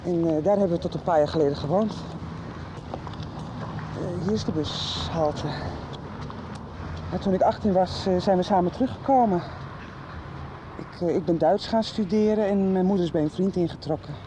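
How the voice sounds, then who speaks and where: A woman's voice: lowish voice, normal speed, long pauses, background noise, close to an everyday voice. An actress playing a young woman in a radio soap, telling things in a plain, matter-of-fact way. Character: young woman with a mother in Berlin. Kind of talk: 1990s radio drama acting.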